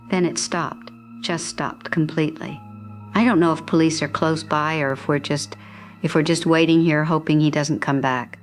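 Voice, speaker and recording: A woman playing a frightened resident in a radio drama, giving an eyewitness account in short, halting phrases. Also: low-pitched, natural voice. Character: frightened female resident hiding at home.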